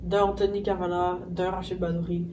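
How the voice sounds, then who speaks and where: A woman's voice: lowish voice, a little fast. Young woman mixing in English. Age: young adult. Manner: mixes English words into French. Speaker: podcast co-host. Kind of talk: casual round-table chat. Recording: distant mic with steady noise.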